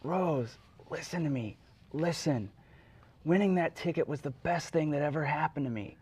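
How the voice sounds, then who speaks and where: A man's voice: fairly high pitch, moderate pace, a little mellow, slightly rough. A man playing an earnest young man in icy water, pleading in a parody dramatic reading.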